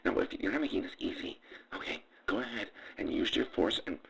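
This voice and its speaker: A man's voice: on the low side, expressive, brisk, gravelly, a bit bright, husky, heavily acted, low-quality recording. A man voicing a fawning, scheming young housewife in a campy comedy radio play, gushing and stretching out words theatrically. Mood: hushed, irritated.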